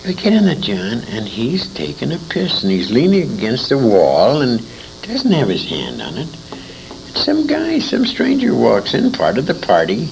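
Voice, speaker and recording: A man's voice: mid-range voice, huge pitch swings, normal speed, gravelly, slight background noise, low-quality recording. An older American man telling anecdotes as an interview guest, in a salty, emphatic way, repeating phrases for effect. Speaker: interview guest, old-time radio veteran. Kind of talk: animated anecdotal storytelling.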